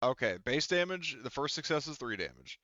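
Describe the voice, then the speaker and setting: A man's voice: mid-range voice, very animated, fairly quick, noisy background. A man casually explaining rules and narrating as the game master of a tabletop roleplaying game. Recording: dull lo-fi microphone.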